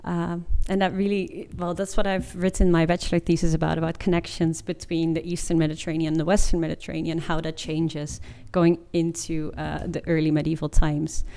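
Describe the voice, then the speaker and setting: A woman's voice: deep voice, level tone, fairly quick, few pauses, warm tone. A woman in her twenties giving an informative presentation in an informal, explanatory way. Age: 20s. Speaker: master's student presenter.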